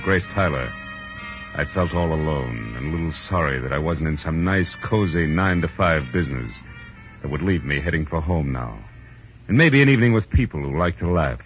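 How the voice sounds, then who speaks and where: An actor playing a world-weary, wry private detective with a laconic manner, in 1940s radio drama style on an old recording. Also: male voice, low voice, dull audio. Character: world-weary male private detective, gruff but kindly. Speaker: narrator and lead character. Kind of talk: 1940s radio acting, hardboiled first-person narration.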